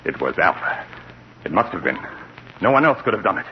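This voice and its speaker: Secretive lab assistant, grave and formal, highly animated intonation, vintage radio suspense, muffled noisy recording. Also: male voice, a little fast. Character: earnest, secretive male laboratory assistant, grave and persuasive. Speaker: actor in a radio drama. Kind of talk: 1940s radio suspense acting.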